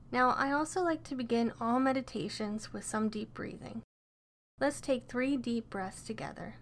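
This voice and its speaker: A woman narrating a scripted guided meditation in a gentle, soothing and encouraging way. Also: a little high, slight background noise.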